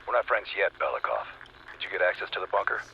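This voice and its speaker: An actor playing a curt, hard-nosed spymaster in a video game, speaking bluntly and coldly. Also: male voice, low voice, sing-song, quick pace, exaggerated voice. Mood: cold, terse. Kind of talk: dramatic video game cutscene acting. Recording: muffled radio sound, clear noise.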